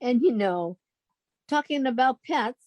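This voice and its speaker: A woman's voice: on the high side, expressive, a little slow, a little mellow, dry recording. A woman playing a sensible, wry young roommate who narrates a 1940s-style radio comedy. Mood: wry, fond. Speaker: actress in theatre company.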